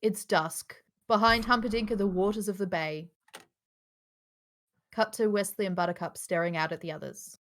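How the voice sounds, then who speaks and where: A woman's voice: medium pitch, fairly even intonation, normal speed, fairly bright tone. A woman reading out screenplay stage directions at a casual live table read, with occasional offhand asides.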